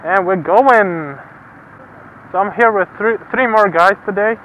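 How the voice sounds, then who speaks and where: A man's voice: high voice, unhurried, a bit bright, low-quality recording. A young man talking casually from his motorcycle while riding, laughing often and swearing freely. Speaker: motovlogger. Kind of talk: casual helmet-cam commentary. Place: on a motorcycle outdoors on the road. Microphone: helmet-mounted mic. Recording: faint road and engine noise.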